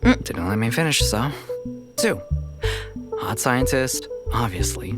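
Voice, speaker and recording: A man playing a flirtatious, slightly anxious scientist in a visual novel, with a soft teasing delivery. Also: medium pitch, lively intonation, unhurried, natural voice. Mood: flirty. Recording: loud music behind the voice.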